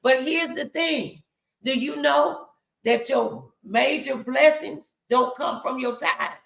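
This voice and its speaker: Creaky-voiced woman preaching to viewers. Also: sits high, slow pace. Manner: exhortatory, emphatic, addressing viewers directly. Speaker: show host and preacher. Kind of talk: motivational faith talk on a livestream.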